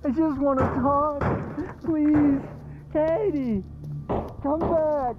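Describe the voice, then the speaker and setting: A frightened female captive pleads haltingly in an audio drama. Her voice is high and very slow, and sounds thin and muffled. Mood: pleading, fearful. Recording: muffled, band-limited sound.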